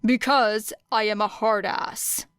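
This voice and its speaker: A woman voicing a grumpy, strict male teacher with a dry, curt, deadpan manner in an audiobook. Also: medium pitch, slow pace, clear, resonant tone, no echo. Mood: deadpan sparring. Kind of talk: acted audiobook dialogue.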